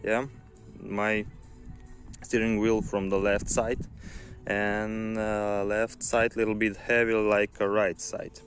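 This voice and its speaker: Slow-talking man with a Ukrainian accent. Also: fairly low pitch, level tone, soft background music. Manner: halting non-native English, explains step by step. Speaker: car hobbyist showing parts. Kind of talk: casual how-to demonstration. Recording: loud background noise.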